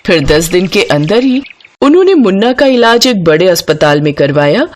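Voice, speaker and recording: Female narrator for moral bedtime stories, lower register, animated swooping melody, expressive scripted read. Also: moderate pace. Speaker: storyteller.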